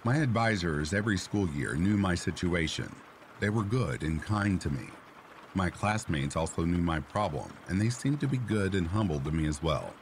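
A man reading a reader-submitted story aloud in a steady, even scripted narration. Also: deep voice, normal speed, a little hiss or hum. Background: faint rain sounds.

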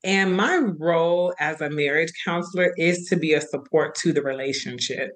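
A woman's voice: low-pitched, moderate pace. A young woman from Florida, a marriage and family therapist, answering interview questions in an eager, chatty way. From Florida, USA.

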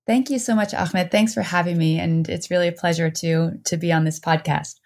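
A woman's voice: lowish voice, fairly even intonation, a little fast, darkish tone. A woman in her forties being interviewed as an author and professor, answering remotely from home with many restarts and fillers. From Netherlands and New Jersey. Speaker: author and anthropology professor, guest.

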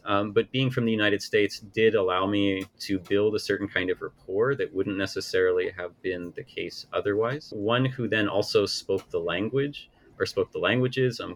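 A man in his thirties, an author answering interview questions in a measured, hedging academic way with frequent restarts. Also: low voice, gravelly, fairly bright tone. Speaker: author and researcher.

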